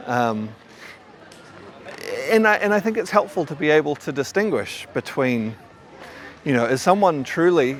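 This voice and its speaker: A man with a mild New Zealand accent, a qigong teacher talking casually and thoughtfully to camera, often stammering over restarts. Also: mid-range voice. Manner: thoughtful, rambling, frequent stammered restarts and fillers.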